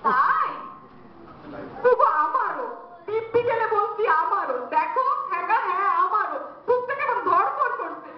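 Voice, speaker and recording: A woman playing an excitable, greedy, naive village wife in a comic folk drama, gushing and chattering. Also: very high-pitched, unhurried. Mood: giddy. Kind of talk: Bengali comic domestic stage drama. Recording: very echoey, distant, dull, faintly noisy.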